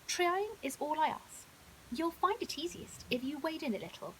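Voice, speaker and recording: A woman's voice: sits high, sing-song, normal speed, fairly bright tone, pitched above the performer's own voice, rough recording. A woman voicing a clever, teasing female crocodile with a polite, assured manner in a children's bedtime story. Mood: reassuring. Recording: audible hiss.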